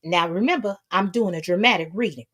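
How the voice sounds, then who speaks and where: A woman's voice: lowish voice, fairly quick, a bit bright. An American woman hosting casual gossip commentary, talking in a sassy, colloquial way and repeating herself for emphasis. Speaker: commentary vlog host.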